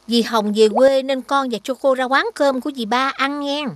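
Young cartoon mum a woman acting, motherly and gently firm, high voice, dry studio sound. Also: moderate pace, no room sound, lightly acted. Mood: caring. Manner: motherly, explaining to children.

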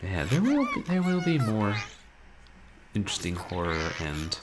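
A man in his thirties, a game streamer chatting casually at home in a wry, rambling, animated way. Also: on the low side, normal speed, noisy background. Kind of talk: casual livestream chat. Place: small room at home, indoors.

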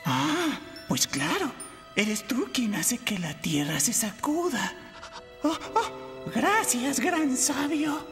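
A woman's voice: high voice, big pitch swings, slow pace, exaggerated voice. A woman voicing an earnest, awestruck small furry animal with a reverent manner in a cartoon dub. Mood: reverent, awed. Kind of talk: animated film dub acting. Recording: long cavernous reverb under music. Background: music.

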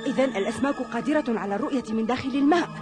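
A woman's voice: a little high, racing, over music, close to an everyday voice. A woman voicing an eager, cautious young boy training in kung fu in a cartoon dub. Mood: wondering.

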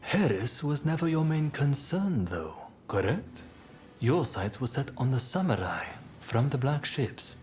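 A man playing a composed, courteous young samurai rebel leader in a video game, speaking in a polite, measured and earnest way. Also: medium pitch, a little mellow. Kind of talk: video game cutscene acting.

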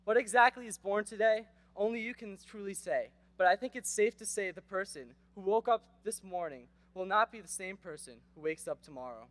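A man's voice: high voice, fairly quick, few pauses, fairly bright tone, dry recording. A teenage boy giving a prepared graduation speech at a podium microphone on an outdoor stage, earnest and rhetorical with wry asides. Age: teens. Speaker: graduation speaker.

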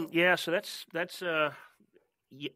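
A man's voice: a little high, fairly even intonation, slow, bright, forceful tone, no echo. A middle-aged Australian man chatting as a podcast guest, hesitant with plenty of fillers and restarts. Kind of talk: casual interview chat.

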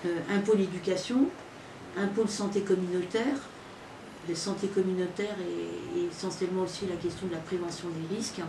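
Middle-aged woman, association representative presenting informally; slightly low voice, heard off in an echoey room. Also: fairly even intonation, fairly quick, creaky. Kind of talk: informal spoken presentation at a partnership signing. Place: small indoor meeting room with a group standing behind. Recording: echoey, noisy room, distant microphone.

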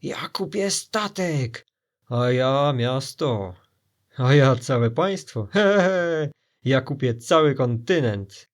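A man's voice: mid-range voice, lively intonation. A man voicing greedy, dim, gullible pirates in a storybook, clamouring eagerly. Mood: gloating. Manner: clamouring, slow-witted, eager.

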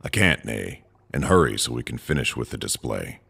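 A man playing a curt, weary, authoritative alien leader in a sci-fi audio drama, with a deep, gravelly, husky voice. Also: very animated, normal speed, a bit bright, exaggerated voice. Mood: grave, firm. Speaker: voice actor.

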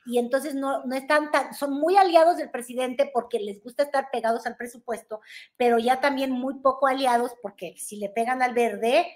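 A woman's voice: high voice, normal speed, a little mellow. A Mexican woman in her thirties, a guest commentator chatting playfully and teasingly about politics over a video call from a room at home. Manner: playful, teasing, gesturing with hands. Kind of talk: casual political chat.

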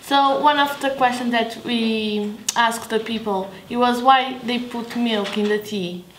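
Woman presenting haltingly. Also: on the high side, fairly even intonation, echoey room, a little hiss or hum, rough recording. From Portugal. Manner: halting, non-native phrasing. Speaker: student presenter. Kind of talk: casual presenting to camera.

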